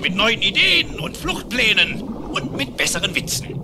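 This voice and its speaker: A man's voice: high voice, very animated, unhurried, noisy background. A man playing a proud, grumpy old duck uncle in a German cartoon audio play, grumbling and exclaiming. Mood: grumbling, defiant. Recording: music behind the voice.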